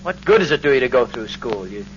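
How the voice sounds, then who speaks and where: An actor playing a flustered, self-important suburban husband in 1940s radio comedy style, blustering and stammering. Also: male voice, medium pitch, sing-song, fast talker, natural voice. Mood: aggrieved grumbling. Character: indignant, self-important suburban husband, bumbling and easily ruffled. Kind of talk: 1940s radio sitcom acting. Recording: muffled, noisy old radio recording.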